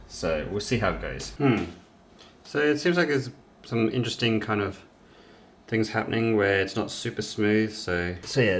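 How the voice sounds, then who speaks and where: A man's voice: lowish voice, slight background noise. A young man hosting a home cooking vlog, talking casually and rambling as he corrects himself mid-sentence. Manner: relaxed, rambling, self-correcting. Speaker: cooking channel host. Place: home kitchen.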